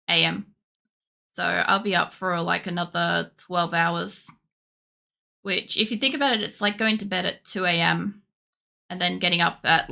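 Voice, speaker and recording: A tired young woman streamer chatting casually while she draws, with a croaky voice and a rambling way of talking full of fillers. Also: on the low side, sharp tone. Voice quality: croaky, gravelly. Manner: rambling, with many fillers and restarts. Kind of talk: casual chat during a drawing stream.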